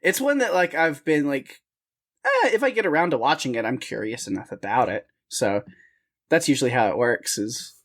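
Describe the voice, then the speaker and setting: A man's voice: mid-range voice, animated, moderate pace, a bit bright. Man, podcast co-host, casual chat, rambling, restarts sentences, self-deprecating laughs.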